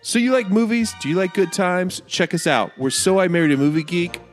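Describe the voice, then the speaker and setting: Faint music sits behind a man delivering a podcast promo. He speaks as the show's host, with an animated, varied pitch. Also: fairly quick.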